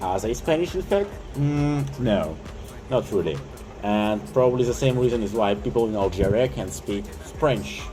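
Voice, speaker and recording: A man's voice: mid-range voice, animated, normal speed, warm tone, soft background music. A young man from eastern Ukraine, a native Russian speaker with an Eastern European accent, explaining things casually and informally to camera. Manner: informal, uses slang and swearing. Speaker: vlogger.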